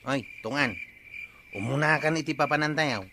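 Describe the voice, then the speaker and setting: A man with an Ilocano accent playing a slow, drawling village watch chief in a comic radio drama. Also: fairly high pitch, bright, forceful tone, a little hiss or hum.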